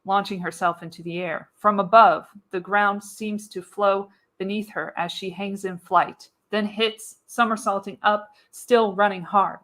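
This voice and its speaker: A woman narrates a screenplay live as a radio play. Her medium-pitched voice reads the action with dramatic, storytelling emphasis. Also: steady tone, measured pace, hardly any pauses, fairly bright tone.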